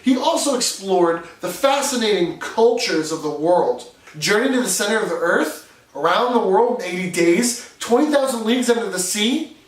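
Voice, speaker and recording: A man's voice: high voice, echoey room, recorded from a distance, poor audio. A man with a New England accent, from New Hampshire, giving an earnest, conversational explainer as a video host. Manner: earnest, conversational, with occasional fillers. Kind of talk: scripted explainer narration.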